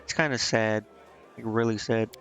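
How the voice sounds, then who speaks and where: A man's voice: on the low side, steady tone, normal speed, fairly bright tone. A man doing casual live game commentary, informally thinking aloud and reading dialogue out as he goes. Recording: dull, band-limited sound with music. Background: game music.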